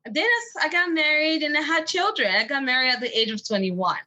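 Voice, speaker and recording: A woman's voice: sits high, quick pace, pushed and bright. A woman from Benin, French-speaking by birth, with a West African French accent, speaking earnestly as a coaching guest on a podcast interview. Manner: earnest, encouraging, light laughs.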